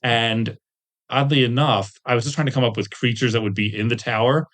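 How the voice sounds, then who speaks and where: A man's voice: mid-range voice, steady tone, brisk, fairly bright tone. A man telling rambling, self-deprecating anecdotes with jokey asides as a guest in a casual interview. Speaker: guest, tabletop game designer and webcomic artist.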